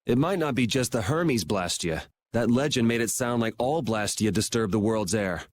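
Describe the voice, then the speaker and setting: A man's voice: lowish voice, lively intonation, moderate pace. A man playing a cool, plain-spoken young swordsman in a video game, talking casually. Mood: thoughtful. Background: background music.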